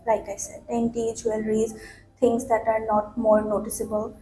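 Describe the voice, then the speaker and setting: A young woman from Bangladesh answers exam questions in a mellow, slightly high voice, pausing on fillers and restarting her sentences. She sits in a small office room and is picked up by a distant camera mic. The room is echoey, with a faint hiss underneath. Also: completely flat delivery. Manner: hesitant, many fillers and restarts. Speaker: IELTS mock test candidate. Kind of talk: answering speaking test questions.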